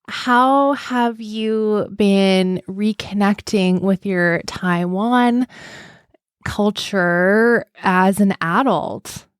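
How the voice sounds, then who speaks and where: A woman hosting an adoptee podcast, asking questions gently and empathetically. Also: medium pitch, clear, resonant tone, dry recording, studio quality. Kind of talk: interview host.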